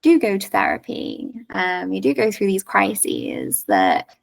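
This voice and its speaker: A woman talks as a podcast guest, candid and frank. Her voice carries a gravelly vocal fry at a middling pitch. She is recorded a little away from the mic. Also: huge pitch swings, slow pace, distant mic. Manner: candid, frank, laughs easily. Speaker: podcast guest, coach and yoga teacher. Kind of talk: casual podcast conversation.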